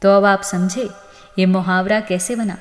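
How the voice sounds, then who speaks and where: A woman narrating a scripted moral story as host, with an expressive storytelling delivery. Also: mid-range voice, unhurried. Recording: long reverb on the voice.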